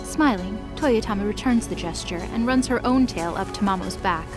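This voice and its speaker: A woman's voice: medium pitch, moderate pace, over music. A woman reading scripted narration for a dramatic mythological story.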